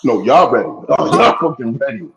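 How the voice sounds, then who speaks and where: A man's voice: mid-range voice, expressive, normal speed, gravelly, a crowd in the background. A man calling in, fired up and rambling, repeating himself as he makes his points. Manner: fired up, rambling, repeats "you know what I mean". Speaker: guest caller. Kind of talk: heated casual commentary. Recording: muffled lo-fi call audio.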